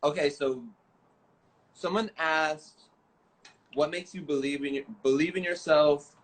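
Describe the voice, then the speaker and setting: A man hosting a casual talk, reading out viewer questions in a relaxed way from a distance. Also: on the high side, a little slow. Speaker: host and activist.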